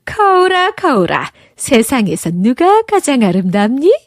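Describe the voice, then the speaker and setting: A cruel, vain witch queen from a storybook, as a woman narrator puts her on. She is imperious and scheming, with pitch that swings widely, recorded close and dry. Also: very animated, unhurried, no room sound, heavily acted.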